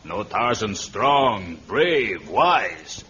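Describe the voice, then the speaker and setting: Solemn tall warrior: halting broken English, swooping mid-range pitch, muffled radio with noise. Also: male voice, very animated, sharp tone, heavily acted. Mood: solemn, pleading. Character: tall, solemn African warrior, stranger seeking help. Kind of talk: old-time radio drama. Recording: noisy, muffled vintage radio recording.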